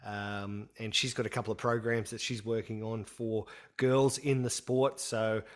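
A man's voice: fairly low pitch, level tone, measured pace. A man co-hosting a casual motorsport podcast, talking loosely with plenty of fillers and restarts. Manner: chatty, with many fillers and restarts. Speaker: podcast co-host.

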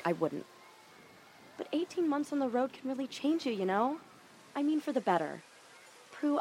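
A woman's voice: a little high, a little hiss or hum. An actress playing an upbeat, free-spirited young woman with a breezy, persuasive way of talking.

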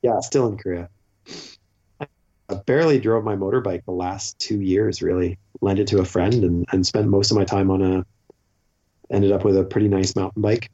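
A Canadian man in his forties tells stories in a loose, rambling way, full of fillers and restarts. His voice is dark and mellow, and the recording is a little muffled. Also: deep voice, fairly even intonation, normal speed. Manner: rambling, self-interrupting, with frequent fillers and restarts. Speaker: podcast guest; teacher. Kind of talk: casual conversational storytelling.

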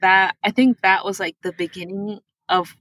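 A woman's voice: medium pitch, fairly even intonation, moderate pace, a bit bright, no room sound. A woman from Peru whose first language is Spanish, a tech guest telling her life story earnestly and with animation. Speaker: guest, tech product manager. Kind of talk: interview answers, personal storytelling.

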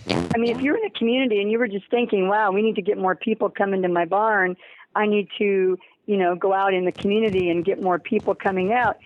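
Over a narrow, tinny phone line, a woman guest talks in a mid-range voice. She explains things casually and with emphasis, as if pitching a program. The line sounds dry, with no room around it. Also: fairly even intonation, fast talker, no echo. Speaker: interview guest.

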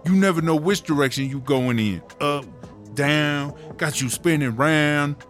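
Man as sassy high-pitched rap diva. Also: a little slow, fairly bright tone, faint music behind. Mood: impressed, sassy. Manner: sassy, slangy, brash. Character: brash, sassy, foul-mouthed drag-queen rap diva. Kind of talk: comedy audio drama acting.

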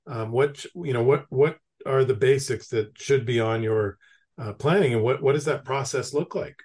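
Podcast host, a man from Canada, mid-pitched, telling stories before asking his questions. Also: moderate pace, a little mellow, husky. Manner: chatty, anecdotal, asks long questions. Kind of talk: podcast interview.

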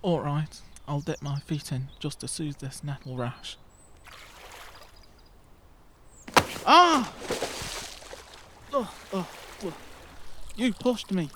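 Guy acting as grumbly sceptical young mate in a radio play, bit high voiced, dry, slight noise behind. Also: lively intonation, slow. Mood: grumbling, wary. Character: sceptical, put-upon young male schoolmate. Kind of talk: audio drama dialogue.

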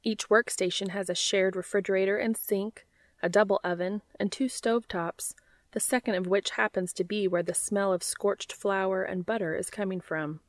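A woman's voice: medium pitch, steady tone, normal speed, no room sound, studio quality. A woman narrating a story from a script as an audiobook reader of fan fiction.

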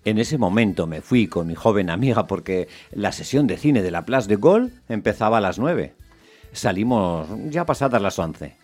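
An actor playing a reflective, observant storyteller, narrating a literary radio drama in a dry way. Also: male voice, on the low side, very animated, moderate pace, a little mellow, faint music behind. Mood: wry, reflective.

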